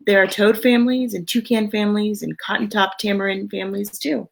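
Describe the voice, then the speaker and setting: A woman with a noticeably creaky, mid-pitched voice reads a children's picture book aloud. She speaks simply and emphatically for young listeners, in a storytime style that slips easily into casual chat. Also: fairly even intonation, fairly quick.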